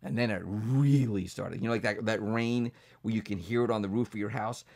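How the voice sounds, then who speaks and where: A man telling a children's bedtime story as a pastor, building it up dramatically from hushed to emphatic. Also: on the low side, fairly quick, vocal fry.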